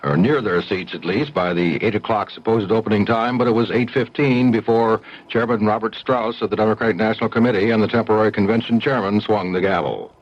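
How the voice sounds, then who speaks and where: A man anchoring a radio news report in a measured, even newsreader manner, from an old broadcast. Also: on the low side, slight background noise. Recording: dull lo-fi 1970s radio broadcast.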